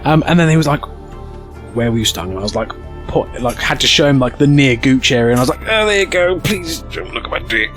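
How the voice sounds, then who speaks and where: A man's voice: mid-range voice, a little fast, soft background music. A British man streaming to a live chat, telling casual comedic stories with crude, self-mocking humour and acting out the dialogue. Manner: acts out dialogue with excited outbursts. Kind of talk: animated casual comedic storytelling.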